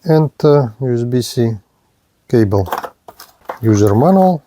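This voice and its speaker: A man narrating a product review and unboxing in short, halting, plain phrases. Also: medium pitch, lively intonation, background noise. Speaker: gadget reviewer.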